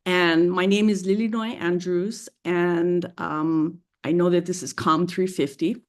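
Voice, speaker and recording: A woman in her fifties, a native English speaker, giving a measured classroom presentation as a guest speaker, with frequent pauses and restarts. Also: lowish voice, fairly even intonation. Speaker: guest speaker, Hawaiian language specialist.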